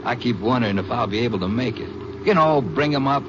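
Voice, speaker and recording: A man's voice: mid-range voice, expressive, fast talker, close to an everyday voice. An actor playing an earnest young truck driver who is expecting his first baby, in a 1950s radio drama. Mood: friendly. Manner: earnest, plain country speech. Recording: dull old radio recording with hiss.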